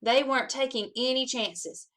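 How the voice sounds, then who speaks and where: A woman reading a children's story aloud in an expressive, animated way, acting out the dialogue with emphasis. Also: high voice, moderate pace. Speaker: assistant principal reading aloud to schoolchildren.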